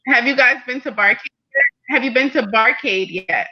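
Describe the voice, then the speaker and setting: A woman's voice: on the high side, moderate pace. A woman from New Jersey chatting casually, heard through dull, limited audio. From New Jersey, USA. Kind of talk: casual chat.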